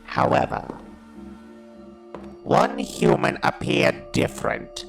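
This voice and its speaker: A man playing a hateful, captive alien war machine in an audio drama, with a harsh mechanical voice and slow, ominous, deliberate delivery. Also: faint music behind, lightly acted. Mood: brooding, resentful. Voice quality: gravelly, harsh, mechanical monster voice.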